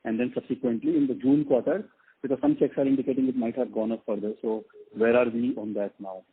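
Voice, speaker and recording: A man's voice: medium pitch, fairly even intonation, fast talker, breathy edge. A man speaking as a financial analyst, asking polite, structured questions. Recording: narrow telephone line.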